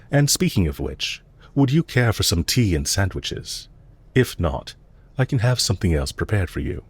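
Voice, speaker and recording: A man playing a courteous, calm villain leader in an audio roleplay, speaking in a polite, measured, formal and softly commanding way. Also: low voice, husky, full character voice. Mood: solicitous, gentle. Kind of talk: scripted roleplay audio drama.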